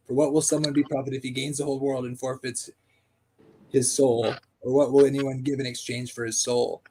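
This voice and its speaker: A man in casual discussion who reads passages aloud and thinks out loud, halting with fillers. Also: medium pitch, flat delivery, slight background noise, rough recording.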